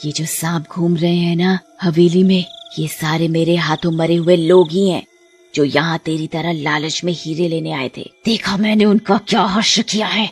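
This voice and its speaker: A woman's voice: on the low side, fairly even intonation, moderate pace, husky, faint music behind. A woman voicing a vengeful, gloating female ghost serpent in a cartoon, taunting and menacing. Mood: scornful, menacing. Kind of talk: Hindi cartoon horror dialogue.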